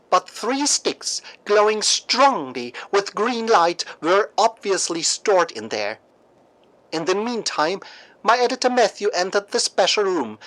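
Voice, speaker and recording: A man narrating scripted radio drama and mock news as an anchor, in a theatrical, deliberate storytelling manner. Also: sits high, a bit bright, low-quality recording.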